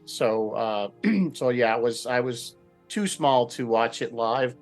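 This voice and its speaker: Guy in his 50s from southern connecticut, average pitch, halting, reflective, casual fan reminiscing on a panel. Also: faint music behind. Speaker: panel guest.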